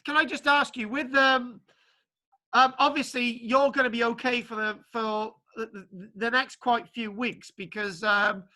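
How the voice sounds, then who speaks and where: A man lecturer from Wales talking casually to his online class, halting with many restarts and fillers. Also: extremely high pitch, steady tone, pushed and bright. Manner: halting, full of restarts and ums. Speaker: archaeology lecturer. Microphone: video call. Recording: spotty video call connection.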